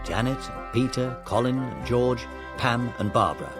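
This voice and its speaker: A man's voice: mid-range voice, expressive, normal speed, music in the background. A man reading scripted narration for a children's audiobook story.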